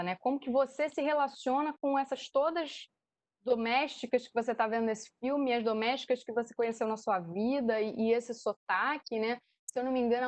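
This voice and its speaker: High-voiced Brazilian woman explaining her work. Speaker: theatre director, panel guest. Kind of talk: spontaneous explanatory talk in an online debate.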